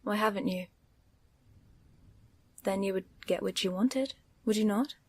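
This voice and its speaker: A woman acts out a mind-reader who probes with measured, pointed words. Her voice sits at a medium pitch, delivered as dramatic audio roleplay. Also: slightly rough. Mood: probing. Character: probing, defensive female mind-reader, loyal and reproving.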